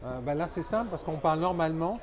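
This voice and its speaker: A man with a slightly high-pitched voice works as a show interviewer. He talks with open enthusiasm, tossing in exclamations and short reactions as he goes. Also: animated, fast talker.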